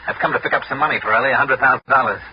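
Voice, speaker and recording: An actor playing a tough insurance investigator in an old radio drama, clipped and no-nonsense. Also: male voice, medium pitch, racing, lightly acted. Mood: hard, challenging. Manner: clipped, hard-boiled. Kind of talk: 1960s radio drama acting with first-person narration. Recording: muffled, very noisy old radio recording.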